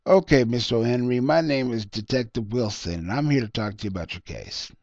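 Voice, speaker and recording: A man's voice: mid-range voice, a little fast, darkish tone, no echo, lo-fi sound, voice pushed up, close to an everyday voice. A man voicing a businesslike police detective in a short story reading, official and matter-of-fact. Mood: formal, puzzled. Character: businesslike male police detective, calm and procedural.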